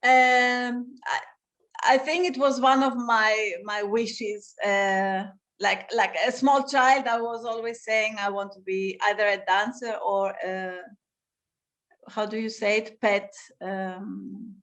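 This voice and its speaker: A woman from Greece, a dancer and dance teacher, answering interview questions over a video call and often pausing to search for words. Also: fairly high pitch, fairly even intonation, a bit bright. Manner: thoughtful, searching for words, frequent restarts.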